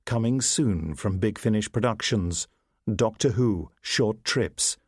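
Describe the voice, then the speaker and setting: A man's voice: on the low side, slow, husky, no room sound, studio quality. A man reading scripted promotional narration as a trailer announcer.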